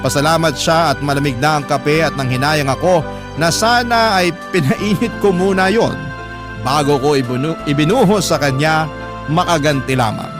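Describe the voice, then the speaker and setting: Male radio storyteller, somewhat high pitch, dramatic scripted narration, expressive, measured, music behind. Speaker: radio host reading listener letters.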